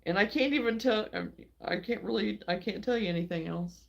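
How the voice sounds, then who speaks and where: A woman from Illinois hosting a relaxed livestream, chatting with viewers in a folksy way and laughing often. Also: medium pitch, brisk, creaky, dull audio. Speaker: livestream host. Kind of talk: casual chat with viewers. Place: home.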